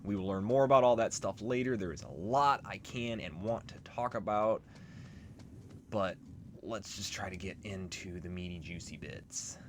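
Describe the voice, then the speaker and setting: Very low-voiced man commentating on gameplay. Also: normal speed. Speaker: let's play host. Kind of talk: casual game commentary. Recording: clear noise behind commentary.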